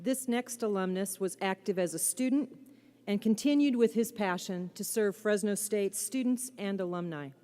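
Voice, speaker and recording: A woman's voice: mid-range voice, normal speed. A woman formally presenting an award at a ceremony. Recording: loud music behind.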